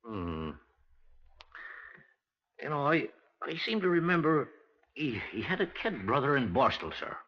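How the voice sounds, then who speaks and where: A man playing a deferential, straightforward police sergeant with a husky voice in a 1950s radio drama. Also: mid-range voice, very animated, unhurried, pauses often. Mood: recalling. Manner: respectful, obliging. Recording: muffled 1950s radio recording.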